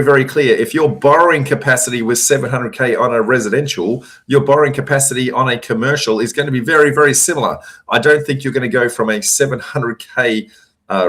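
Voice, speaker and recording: A man, hoarse and losing his voice, hosting a live question-and-answer session and giving emphatic advice with plenty of fillers. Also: lowish voice, fairly bright tone. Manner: emphatic, repeats words for stress, frequent fillers. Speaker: host answering audience questions.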